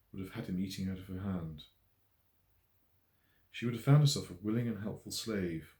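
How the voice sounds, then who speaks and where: A man reading a novel as its author in a measured, literary way with expressive emphasis. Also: sits low. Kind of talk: scripted audiobook narration. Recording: roomy, echoey voice recording.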